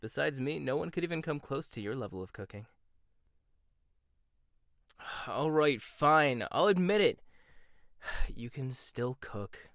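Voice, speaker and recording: A young man voicing a proud, sharp-tongued male chef in a roleplay audio, speaking close to the mic. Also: mid-range voice, lively intonation, slow pace, long pauses, dry recording. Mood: grudging. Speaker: voice actor. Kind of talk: boyfriend ASMR roleplay.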